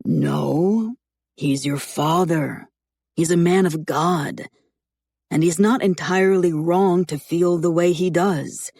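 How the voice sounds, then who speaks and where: A woman voicing a calm, teasing man in his late twenties who talks in a measured, reasoning way. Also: a little high, gravelly, no echo, clean studio sound, heavily acted. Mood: earnest. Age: 20s.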